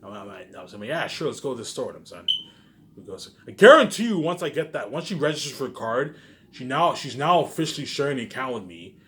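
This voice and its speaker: A man vlogging in a casual, rambling monologue, boastful and repetitive, swinging between excited bursts and muttered asides. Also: medium pitch, a little fast, background noise. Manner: repetitive, with emphatic catchphrases; boastful, self-assured.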